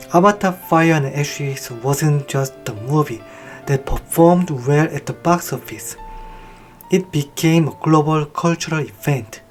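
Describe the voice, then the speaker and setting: A narrator reading a scripted film review in a slow, measured, evenly paced delivery. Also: mid-range voice, darkish tone. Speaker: video essay narrator.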